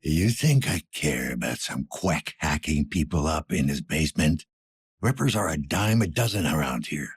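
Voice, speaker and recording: A man playing a weary, gruff older police detective in a cyberpunk video game, speaking tersely in a low-key, world-weary way. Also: animated, normal speed, dry recording, studio quality, exaggerated voice. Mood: stern, pressing. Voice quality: husky, gravelly.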